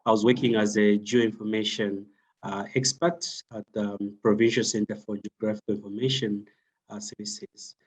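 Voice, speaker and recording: A man from Zambia, a doctoral candidate, speaking as a panel guest on a video call in a halting way. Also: on the low side, little pitch movement, breathy edge, rough recording. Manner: halting, frequent "uh" and "um".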